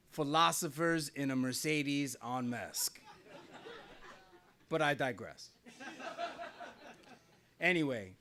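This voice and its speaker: A man's voice: on the high side, bright, forceful tone. A middle-aged man from New Jersey telling a wry, conversational personal story on stage at a small live venue, often laughing as he talks. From New Jersey, USA.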